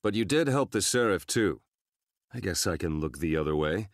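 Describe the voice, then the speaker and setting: A deep, stern guard captain. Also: male voice, lively intonation, moderate pace, no echo, studio quality. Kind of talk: video game voice acting.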